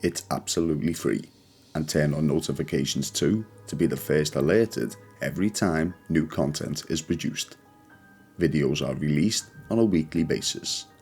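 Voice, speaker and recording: A man hosting a channel and reading scripted ghost stories in a measured, solemn way. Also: very deep voice, a little mellow, husky, faint music behind. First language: not Polish; speaks English. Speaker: channel host and narrator. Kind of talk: scripted ghost story narration.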